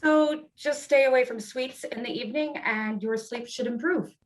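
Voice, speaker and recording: A woman playing a patient, matter-of-fact doctor in a play read over a video call, with a measured clinical manner. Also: on the high side, close to an everyday voice. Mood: professional, patient. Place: indoors, remote video call performance.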